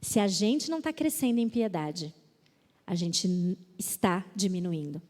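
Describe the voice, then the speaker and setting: A woman's voice: on the low side, unhurried, a little mellow. A Brazilian woman speaking at a women's church conference, reading scripture aloud with emphasis and playfully mimicking excuses. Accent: Brazilian Portuguese. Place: church auditorium. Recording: reverberant church hall.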